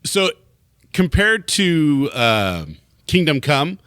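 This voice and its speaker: Podcast co-host, male; animated, bright tone, loose rambling delivery. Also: a little high, extremely slow, clean tone, no room sound, clean studio sound. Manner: rambling, laughs often, swears casually. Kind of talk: casual comics chat.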